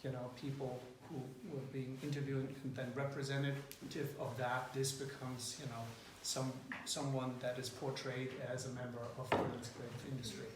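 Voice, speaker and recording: A man's voice: medium pitch, little pitch movement, moderate pace, hardly any pauses. Man speaking from across an echoey room. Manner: hesitant, many fillers and restarts. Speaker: interviewer leading a student group. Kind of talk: casual meeting introduction. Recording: echoey room, far from the mic, faint noise.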